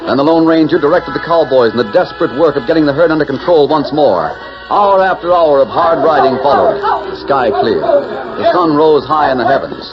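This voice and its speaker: A man's voice: on the high side, big pitch swings, fairly quick, a crowd in the background. A man narrating a 1940s radio western in a dramatic scripted style. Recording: muffled 1940s radio recording with music.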